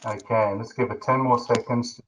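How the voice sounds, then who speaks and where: A man's voice: mid-range voice, fairly even intonation, normal speed, slight background noise, low-quality recording. A man with a South African accent hosting a webinar, chatty and jokey with lots of fillers. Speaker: webinar host.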